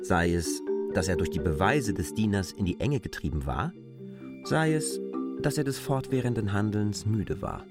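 A man's voice: fairly low pitch, animated, moderate pace, loud music behind the voice. A man reading scripted literary narration for an audio drama.

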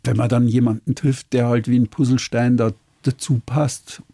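A man in his sixties, a sailor and author, musing in a relaxed interview chat, who sometimes loses his thread and chuckles. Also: mid-range voice, normal speed, gravelly, no echo, clean studio sound. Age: 60s.

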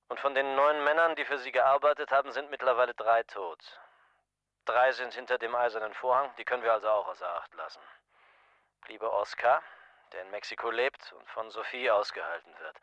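An actor in a dubbed film thriller playing a shrewd small-time investigator who needles a powerful client with deliberate, pointed politeness. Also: male voice, medium pitch, sharp tone, dry recording, lo-fi sound, lightly acted.

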